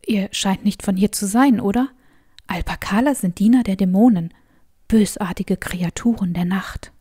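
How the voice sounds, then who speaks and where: A woman's voice: mid-range voice, normal speed, mellow, husky, lightly acted. An actress playing a frightened middle-aged mother in a fantasy audio drama, pleading fearfully and breathily for her family. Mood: ominous, fearful.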